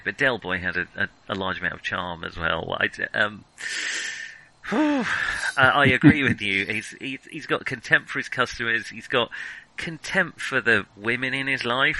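A man's voice: medium pitch, pushed and bright, low-quality recording. A man co-hosting a film discussion podcast, speaking casually and opinionatedly, often stopping to rethink and correct himself. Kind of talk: casual film criticism chat.

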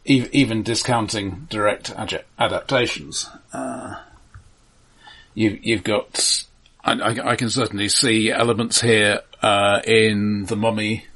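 Husky, low-pitched man talking films. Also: fairly even intonation, a little slow, fairly bright tone. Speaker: film podcast co-host. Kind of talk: casual film discussion.

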